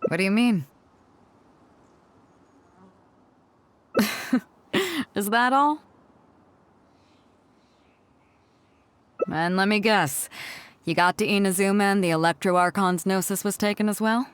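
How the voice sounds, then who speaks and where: A woman's voice: mid-range voice, moderate pace, clear, resonant tone, no room sound, natural voice. A woman voicing a bold, proud female ship captain in a video game, confident and teasing, with a theatrical delivery. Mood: amused. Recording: music under the voice. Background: background music.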